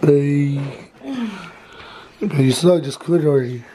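A young man with a hoarse, sick voice, vlogging in a bedroom and teasing his cousin. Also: on the high side, slow, mellow. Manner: mocking, goading. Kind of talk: casual vlog, teasing. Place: bedroom at home. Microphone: handheld camera mic.

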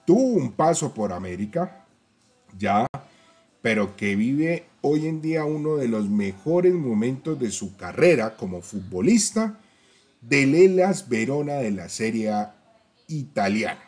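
A man hosting a football fan channel, talking straight to viewers about player stats and often checking in with a quick "¿ya?". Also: medium pitch, lively intonation, a little mellow, faint music behind. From Colombia. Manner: talks to viewers directly, tag questions, stats-heavy. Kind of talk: casual fan-channel commentary.

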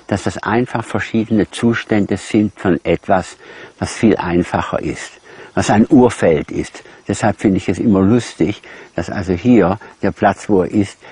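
Guy with a lowish husky voice, chatting in an interview, dry sound. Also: darkish tone, dry recording. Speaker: interviewee recalling physics history. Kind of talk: informal spoken recollection.

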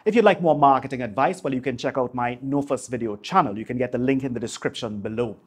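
A man, presenting a scripted piece to camera in a polished, measured broadcaster's delivery on a lapel mic in a home office. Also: mid-range voice, slightly rough. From Trinidad and Tobago. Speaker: YouTube host, marketing coach. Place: small home office, indoors.

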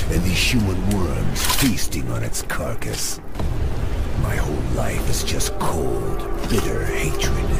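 An actor playing a hateful, nihilistic killer with a raspy growl and cold, bitter, drawn-out menace, over music. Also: male voice, rumbling low, exaggerated voice.

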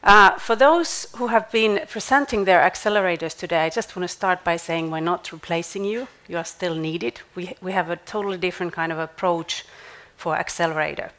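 Woman in her forties, conference stage pitch, measured delivery, clip mic. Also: a bit bright. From Finland. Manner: measured, repeats words at restarts. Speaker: startup accelerator CEO.

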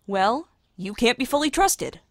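A woman's voice: a little high, animated, measured pace, no room sound, studio quality. A woman acting a fussy, protective family member in an audio drama.

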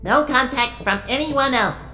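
A woman plays an earnest robot helper in an audio drama. The voice is high, bright and precise, with faint music behind. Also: unhurried. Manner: precise, reporting. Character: earnest robot assistant in a command centre.

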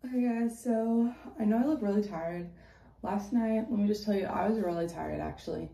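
A teenage girl vlogging at home in the morning, her voice hoarse from yelling the night before. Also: mid-range voice, normal speed. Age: teens. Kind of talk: casual vlog chat. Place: bedroom at home. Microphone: phone camera at arm's length.

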